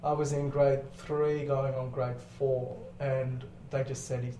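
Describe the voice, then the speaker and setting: A man speaks as an interview guest in a relaxed, explanatory way with the odd swear word. His voice sits at a middling pitch. The recording is echoey, with some faint noise behind it. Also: takes it slowly. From born in Zambia, lived in Botswana as a child, then grew up in Australia. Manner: explanatory, articulate, swears casually. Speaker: podcast guest. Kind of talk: casual interview storytelling. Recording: roomy echo, clear background noise.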